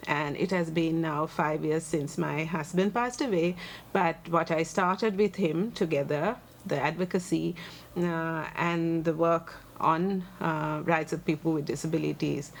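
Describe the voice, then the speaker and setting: A Sri Lankan woman in her forties with a Sri Lankan accent, a lawyer answering interview questions earnestly and thoughtfully, pausing on many fillers. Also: low voice, creaky, slight background noise. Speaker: guest, human rights lawyer and advocate.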